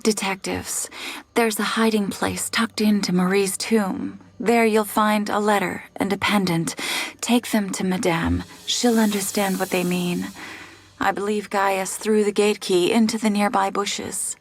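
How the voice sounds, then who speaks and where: A woman's voice: mid-range voice, fairly even intonation, measured pace. An actress voicing an anxious, earnest young woman in a game cutscene. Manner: urgent, earnest.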